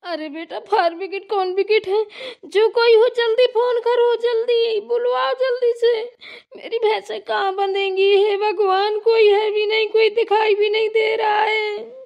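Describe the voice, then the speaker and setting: A woman's voice: very high-pitched, little pitch movement, moderate pace. A woman playing a wailing old village granny. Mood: frantic. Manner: wailing, pleading. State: crying. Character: tearful old village grandmother. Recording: dull lo-fi sound.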